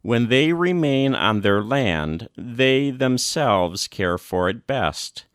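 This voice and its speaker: A man reading a formal document aloud as scripted narration, in a measured, phrase-by-phrase delivery. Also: medium pitch, expressive, a bit bright, clean tone, no echo, clean studio sound. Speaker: audiobook reader.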